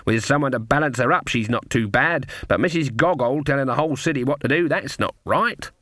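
A stern old country witch, played by a male narrator, stubborn and sharp-tongued, given to muttering and snapping. The speech is rustic and blunt, in a dry, close storybook recording. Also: mid-range voice, brisk, no echo, natural voice. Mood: muttering, disapproving.

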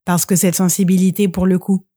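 A woman's voice: fairly low pitch, fairly quick. Young woman hosting a podcast. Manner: relaxed, conversational questions. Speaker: podcast host interviewing her father. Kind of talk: interview and narration.

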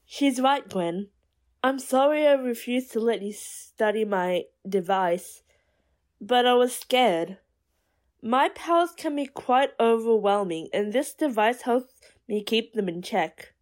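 A woman's voice: fairly high pitch, lively intonation, takes it slowly, darkish tone. A woman playing a stubborn, hot-headed young woman with powers in an audio drama. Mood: apologetic.

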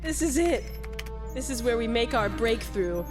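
A woman voices a brash female bat who swears freely and schemes big plans in a comic fan dub. The voice is high-pitched and drawn out, with loud music running underneath. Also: unhurried. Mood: scheming. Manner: excitable, crude, boastful.

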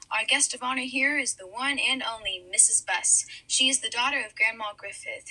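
A woman's voice: on the high side, pushed and bright, low-quality recording. A young woman hosting a podcast, earnest and sympathetic, a little hesitant as she interviews her guest. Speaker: podcast host. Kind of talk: casual interview.

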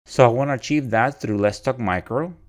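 A man's voice: medium pitch, fairly quick. A man from Puerto Rico hosting a podcast, talking conversationally with frequent fillers and restarts. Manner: conversational, many "you know" fillers and restarts. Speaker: podcast host. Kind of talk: casual solo podcast introduction.

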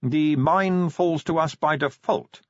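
A man voicing a cold, dry-witted male ruler of a city who speaks slowly and flatly. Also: on the high side, dry recording, voice pushed up. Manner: slow, flat, ironic. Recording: slightly dull, dry studio read.

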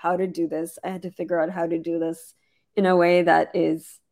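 Hoarse woman founder, low and gravelly, chatty webinar guest full of fillers. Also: flat delivery, moderate pace, darkish tone. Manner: chatty, many "like" fillers and restarts. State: hoarse, losing her voice. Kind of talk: casual webinar conversation.

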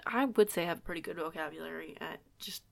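Eager pack newcomer played by a woman: young, awkward female werewolf, lowish gravelly fry, halting informal chatter for audio drama. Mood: rambling, sheepish. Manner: hesitant, rambling, self-conscious, informal. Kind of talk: audio roleplay acting, casual dialogue.

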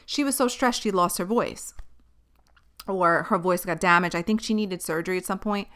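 Woman podcast host, early thirties, chatty and opinionated, bright tone, clear voice. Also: on the low side, moderate pace. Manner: chatty, opinionated, tangent-prone. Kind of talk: casual chat.

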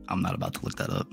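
A man co-hosting a casual podcast, speaking in a stone-cold monotone, deadpan way. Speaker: podcast co-host. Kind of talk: casual chat.

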